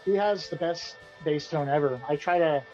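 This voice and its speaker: A man, the band's singer and guitarist, answering interview questions in a relaxed, rambling way and often repeating himself. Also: on the high side, normal speed, muffled recording, faint music behind. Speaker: band vocalist and guitarist being interviewed.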